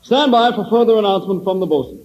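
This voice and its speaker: A stern veteran submarine captain in a vintage radio drama. The voice is high and rough, rising and falling forcefully as he hands out orders. The recording is dull and lo-fi. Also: expressive, fast talker. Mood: firm, authoritative. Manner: barking, authoritative orders. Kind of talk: old-time radio drama acting.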